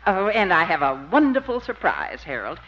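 A woman's voice: fairly low pitch, sing-song, moderate pace. An actress playing a sweet, trusting, gullible elderly mother in a 1950s radio comedy, with a fluttery manner. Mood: sweet, pleased. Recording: dull lo-fi old radio recording.

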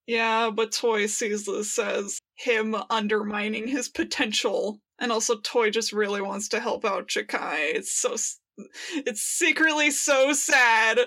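Playful, higher-voiced woman podcaster. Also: measured pace, sharp tone. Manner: playful, informal, emphatic. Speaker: podcast co-host. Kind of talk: casual chat, fan discussion.

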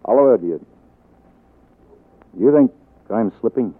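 An American actor playing a charming, faltering film star husband in a 1940s radio drama, fond but wounded, with hesitant, halting speech. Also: male voice, mid-range voice, lively intonation, slightly rough. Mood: subdued, hurt. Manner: hesitant, halting, stammered repeats. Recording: muffled 1940s radio broadcast recording.

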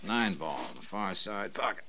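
A man's voice: low-pitched, animated, unhurried, noisy background. An American man playing a sardonic, hard-boiled insurance investigator who needles and probes, in 1950s radio drama style. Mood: cool, needling. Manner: sardonic, probing. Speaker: lead actor of a detective series.

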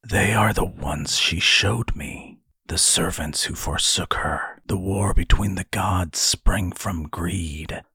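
A devout, solemn elf from a fantasy tale, performed by a male narrator. He speaks low and hushed with a very breathy husk, grave and distant, as if in prayer. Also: takes it slowly, few pauses, no echo, full character voice. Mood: solemn reverence. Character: smaller elven halfkin brother, solemn, reverent, devout mystic.

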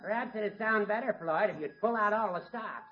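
An actor playing a genial old small-town judge with a dry, joking manner in a 1950s radio comedy. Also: male voice, sits high, moderate pace, natural voice. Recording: dull lo-fi old radio recording.

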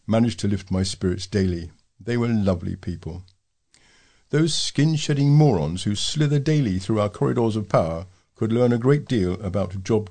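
Husky, mellow man reading letters. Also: on the low side. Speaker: volunteer newsreader for a talking newspaper. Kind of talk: reading readers' letters aloud.

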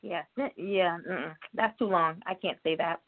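A woman's voice: on the low side, normal speed. Chatty woman host on a tinny line. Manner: chatty, playful, laughs often. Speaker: interview host. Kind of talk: casual interview over a call. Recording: phone-like narrow sound.

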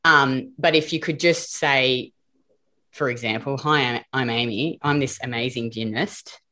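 A woman's voice: a bass voice, measured pace, bright, forceful tone. A woman with an Australian accent hosting a casual group podcast chat, chatty and swearing casually. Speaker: podcast host.

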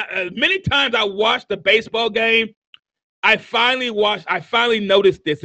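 High-voiced, bright, slow-preaching man in his fifties. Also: no room sound, low-quality recording. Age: 50s. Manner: emphatic, repetitive, building to shouts. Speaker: motivational preacher. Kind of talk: sermon-style motivational speaking.

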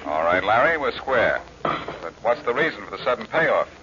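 An actor playing a wry, unimpressed detective in an old radio drama, dry and quick-witted. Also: male voice, lowish voice, big pitch swings, sharp tone. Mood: wry, cool. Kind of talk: 1940s radio acting. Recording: dull noisy old radio recording.

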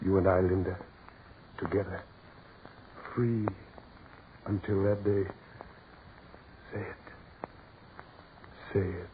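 A man's voice: sits low, little pitch movement, background noise. Tinny old-radio brooding, yearning man. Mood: tender, hushed. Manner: halting, intense, dramatic pauses. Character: brooding businessman yearning to break free. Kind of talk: 1940s radio melodrama acting. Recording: muffled, tinny 1940s radio recording with music.

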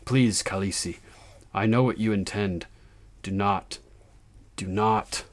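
A man's voice: fairly low pitch, fairly even intonation, slow. A man playing a grave, devoted male knight in an audiobook, earnest and heartfelt. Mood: despairing, pleading. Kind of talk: audiobook character acting.

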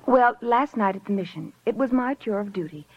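An actress playing a wistful, rambling charity mission worker in a theatrical old radio drama style. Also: female voice, a little high, animated, a little fast. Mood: dreamy, dramatic. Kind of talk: 1940s-50s radio drama acting. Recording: muffled, noisy vintage radio recording.

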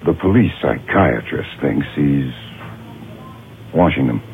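An actor playing an earnest, protective police officer in a radio horror drama, halting and insistent, pleading gravely. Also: male voice, a bass voice, expressive, exaggerated voice. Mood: urgent, grave. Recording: lo-fi old radio broadcast with noise.

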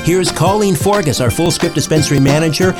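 A man's voice: on the high side, fairly quick, over music. A man hosting a radio-style podcast, moving between interview questions and sponsor reads. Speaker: podcast host.

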